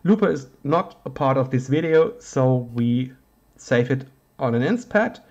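A man with a German accent, a native German speaker, giving an informal hands-on synthesizer tutorial, haltingly searching for words in non-native English. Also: mid-range voice, animated, a little mellow, dull audio.

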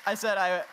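A man's voice: high voice, bright, forceful tone, a little hiss or hum, rough recording. A teenage man from Iran giving a valedictorian speech from a podium mic on a large indoor stage, earnest with touches of humor. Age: teens. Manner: earnest, with light humor and laughs. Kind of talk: graduation speech.

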